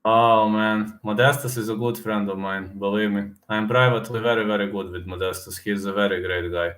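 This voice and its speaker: A fairly low-voiced man with a Serbian accent hosts a relaxed live stream at his desk mic. He answers viewers in English as he goes, and he says things over several times with lots of "uh"s. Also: a little fast. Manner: talks straight to chat, repeats words, many fillers. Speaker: livestream host reading chat. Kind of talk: casual live-stream chat about fight picks.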